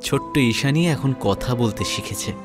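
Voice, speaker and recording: A man with a Kolkata Bengali accent playing an earnest, lovelorn young man in an audio story, speaking wistfully with dramatic pauses. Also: mid-range voice, lively intonation, natural voice. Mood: resigned, tender. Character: lovelorn, self-sacrificing young man devoted to his friend. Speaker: narrator and lead actor in an audio story. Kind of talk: dramatic first-person audio story narration and dialogue. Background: background music.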